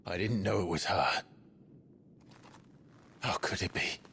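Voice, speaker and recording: An actor playing a guarded young soldier and deserter in a video game cutscene. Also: male voice, deep voice, slow pace, creaky, a little hiss or hum, heavily acted. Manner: guarded and tense. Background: loud orchestral game score.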